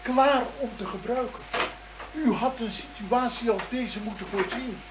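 An actress playing a cold, gloating villain in a radio drama, formal, mocking and politely menacing. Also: female voice, a little high, a little slow, background noise, lightly acted.

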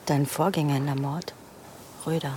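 A woman's voice: low voice, fairly even intonation, normal speed, mellow, a little hiss or hum, lightly acted. An actress playing a self-possessed young woman in police work, cool and matter-of-fact, in a naturalistic radio drama. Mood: dry, disdainful. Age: young adult.

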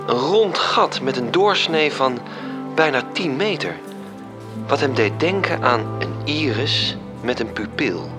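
An actor playing a measured storyteller, reading scripted literary narration for a radio drama. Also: male voice, medium pitch, lively intonation. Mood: descriptive. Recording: music under the voice. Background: music.

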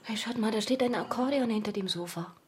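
An actress playing a friendly, curious, soft-hearted woman in a naturalistic radio drama. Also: medium pitch, a little fast, a little hiss or hum, lightly acted. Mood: curious concern.

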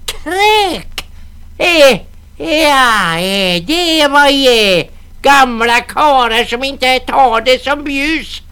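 A man reading a story aloud, voicing a greedy, scolding old peasant woman in a shrill, screeching voice. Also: lively intonation, pushed and bright, clear, resonant tone, full character voice. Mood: shrieking.

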